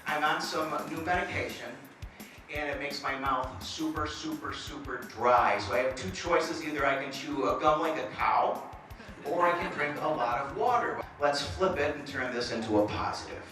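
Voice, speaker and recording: A man's voice: fairly high pitch, normal speed, over music. A middle-aged man giving a comedic stage talk, with a slight stutter and self-mocking jokes. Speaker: motivational speaker. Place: presentation hall. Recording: echoey hall, mic from far off.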